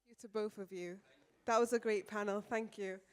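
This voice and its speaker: A woman's voice: mid-range voice, steady tone, fairly quick, smooth, faint music behind. A woman hosting an event from the stage in an informal, somewhat flustered and apologetic way. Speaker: event host introducing panels.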